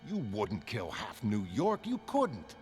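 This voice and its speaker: A man's voice: lowish voice, huge pitch swings, slow, a little mellow, soft background music, full character voice. A man voicing an earnest, disbelieving retired costumed hero, agitated and protesting, in a motion comic. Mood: incredulous pleading. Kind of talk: dramatic motion comic narration.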